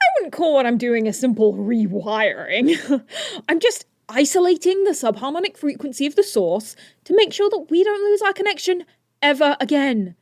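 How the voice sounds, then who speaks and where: Woman as breezy, high-voiced teen inventor hero. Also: sing-song, moderate pace, no room sound, studio quality. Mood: breezy, confident. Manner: breezy, self-assured, wry. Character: confident, flippant teenage inventor superhero. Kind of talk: audio drama acting.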